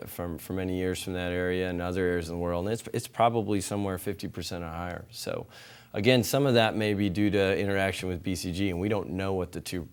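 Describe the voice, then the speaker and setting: Low-voiced male specialist, steady explanations. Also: clear, resonant tone. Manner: explanatory, repeats words. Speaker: panel expert. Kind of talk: expert answer on a panel.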